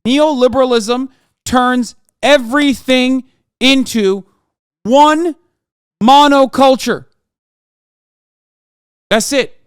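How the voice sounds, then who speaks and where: Streamer man, 30s, from Turkey, lectures his chat in a swearing rant; higher-pitched, resonant, dry. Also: smooth, no room sound. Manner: heated, profane, lecturing rant with emphatic repetition. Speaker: streamer reacting to videos. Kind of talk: livestream reaction commentary.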